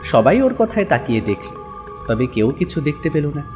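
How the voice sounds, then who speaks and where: Lively, higher-pitched male story narrator. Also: moderate pace. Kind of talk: dramatic scripted story narration. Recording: music behind the voice.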